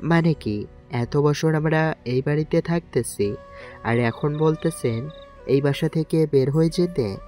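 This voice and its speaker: A man's voice: on the high side, unhurried, mellow, soft background music, natural voice. A man playing a humble young man who answers accusations earnestly and defensively. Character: humble young man, poor but calm.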